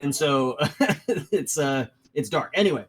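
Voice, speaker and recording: A man acting as dungeon master, explaining rules and scenes in a loose, halting way. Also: a little high, expressive, slight background noise. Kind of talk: casual tabletop game narration.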